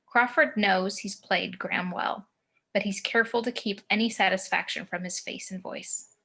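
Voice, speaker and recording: A woman's voice: medium pitch, fairly even intonation, moderate pace, vocal fry, bright, forceful tone. A woman reading scene descriptions and action lines as the narrator of a screenplay table read.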